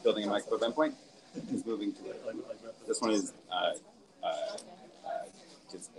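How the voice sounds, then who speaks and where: A man's voice: fairly low pitch, a little slow, a crowd in the background. A man organizing rooms at a tech camp, giving brief practical instructions. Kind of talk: casual logistics talk. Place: conference room at a tech camp.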